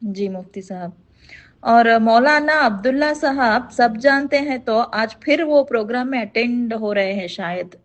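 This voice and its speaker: A woman's voice: a little high, expressive, a little slow. A woman on a recorded phone call, speaking deferentially as she questions and acknowledges the other person. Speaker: caller asking questions.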